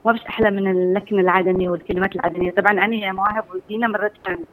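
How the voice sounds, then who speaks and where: A woman's voice: mid-range voice, fairly even intonation, rapid-fire, a little hiss or hum, poor audio. A woman with an Adeni accent, calling in to a radio show and chatting with the hosts. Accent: Adeni Arabic. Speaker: radio caller. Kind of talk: call-in radio chat.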